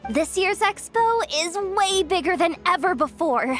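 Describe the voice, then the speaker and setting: A woman's voice: very high-pitched, animated, unhurried, fairly bright tone, heavily acted. An actress playing a brash, scheming young female expo manager in a video game, with a slangy, dramatic way of talking. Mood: cajoling, pleading. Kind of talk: animated video game voice acting. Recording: music under the voice.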